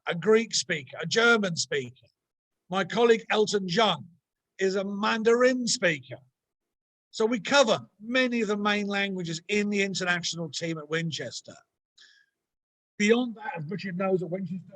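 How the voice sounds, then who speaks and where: An Englishman from a university's international office, giving a measured, emphatic presentation over a video call. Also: high voice, fairly bright tone, low-quality recording. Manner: measured, emphatic, list-like delivery. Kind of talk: online workshop presentation.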